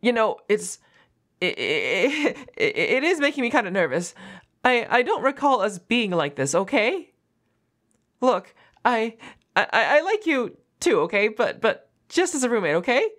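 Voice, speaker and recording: A high, very animated young wolf girl, acted by a woman for an audio roleplay. She is defensive and easily flustered, whining and stammering her way through excuses at an unhurried, drawn-out pace. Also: exaggerated voice. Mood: nervous, evasive. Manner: stammering, whiny, evasive.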